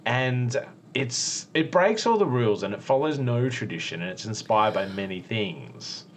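An Australian man co-hosts a chatty food podcast with plenty of enthusiasm. His pitch swings up and down in a lively way, and he breaks into laughter easily. A faint hiss of noise runs behind him. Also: moderate pace. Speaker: podcast co-host. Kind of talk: casual chat.

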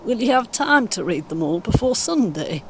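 An actress playing a polite, patient older British grandmother in an audio play, giving short, gently encouraging replies. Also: sing-song, normal speed. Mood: politely encouraging. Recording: faint vehicle noise behind. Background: vehicle rumble, coach ambience.

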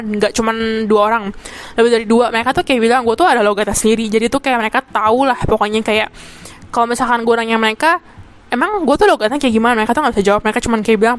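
A young woman in her twenties from Jakarta with a Jakarta accent, hosting a casual solo podcast. She chats in slang, fills her talk with asides and fillers, and drops in English words. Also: on the high side. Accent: Jakarta Indonesian. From Jakarta, Indonesia. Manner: chatty slang-heavy, mixes in English words. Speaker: podcast host.

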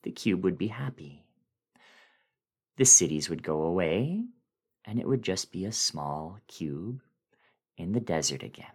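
A man with a deep voice reads a horror story aloud as a dramatic performance. His delivery is deliberate and brooding, moving slowly and swinging widely in pitch as the emphasis swells. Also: lively intonation, slightly rough. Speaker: dramatic reader.

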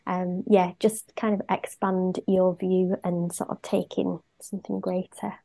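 A mother talking in a gentle, reflective way as a guest, with frequent fillers. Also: lowish voice, level tone, a little slow, creaky. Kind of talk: casual conversation.

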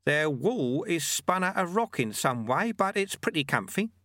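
A man's voice: fairly high pitch, sing-song, normal speed, fairly bright tone, no room sound, clean studio sound, pitched above the performer's own voice. A man voicing a small elderly monk master in a storybook, wry, folksy and unflappable, with a cheeky instructive manner. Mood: chatty, content.

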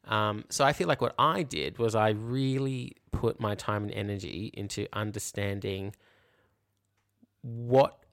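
Man, low pitch, vocal fry, reflective casual talk, hedging explanatory delivery. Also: a little slow, fairly bright tone. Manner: hedging, explanatory, frequent 'you know' and 'like'. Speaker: podcast co-host.

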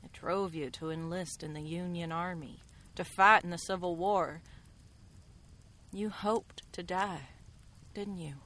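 A woman's voice: on the low side, fairly bright tone. A woman playing a resolute frontier woman in a western radio drama, speaking earnestly and directly. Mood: intense, probing. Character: frank resolute frontier woman, the fugitive's companion.

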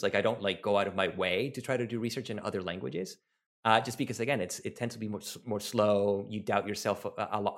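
Laid back guy from venezuela, spanish native, lowish voice, hosting a chat and taking questions, lots of ums. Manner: relaxed, rambling with fillers and restarts. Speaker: talk host and researcher. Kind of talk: casual online talk, taking questions.

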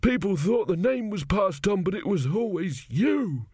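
A man voicing a bitter, accusing dead pharaoh in an audiobook, with a croaking voice. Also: very animated, unhurried, darkish tone, no echo, clean studio sound, heavily acted. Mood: bitter accusation. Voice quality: croaking, pitched up. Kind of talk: audiobook character voice.

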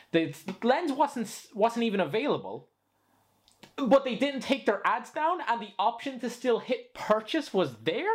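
A young man who grew up in Spain, co-hosting a casual drunken podcast chat and ranting animatedly, swearing freely. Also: extremely high pitch. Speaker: podcast co-host.

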